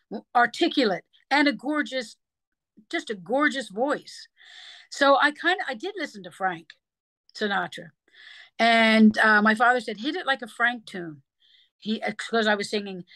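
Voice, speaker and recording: A woman singer telling stories in a casual interview, animated and given to scatting. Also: a little high, no room sound, rough recording. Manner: animated storyteller who breaks into scat sounds. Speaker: singer and guest.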